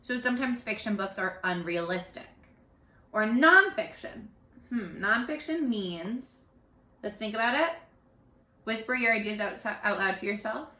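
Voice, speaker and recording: A woman teacher leading an interactive read-aloud lesson for young children, animated and encouraging, often pausing to ask questions. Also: mid-range voice. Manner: animated, encouraging, asks questions and pauses for answers. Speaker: primary school teacher. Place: living room at home. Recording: roomy home echo.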